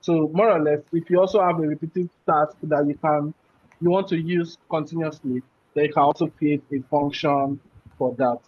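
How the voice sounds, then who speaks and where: Man, coding instructor, slightly high voice, step-by-step explanations, says "right?" often, muffled audio. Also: fairly even intonation, moderate pace, no room sound. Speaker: programming instructor. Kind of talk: live coding class explanation.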